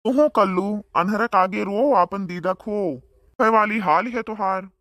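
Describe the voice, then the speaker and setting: A man's voice: sits high, measured pace, bright, forceful tone, dry recording, clean studio sound, heavily acted. A man voicing a boastful, bickering village man in a comedy cartoon, speaking with an Awadhi accent and taunting banter. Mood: quarrelsome. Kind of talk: comedic cartoon dialogue in rural dialect.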